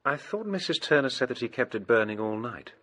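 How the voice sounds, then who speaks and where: An actor playing a polite, level-headed gentleman friend with an English accent in a radio drama, formal and courteous. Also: mid-range voice, very animated, a little fast. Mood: reassuring.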